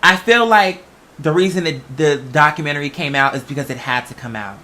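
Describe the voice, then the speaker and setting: A man's voice: a little high, a little fast, pushed and bright. An American man hosting a casual unscripted monologue, talking in a rambling, conversational way and swearing freely.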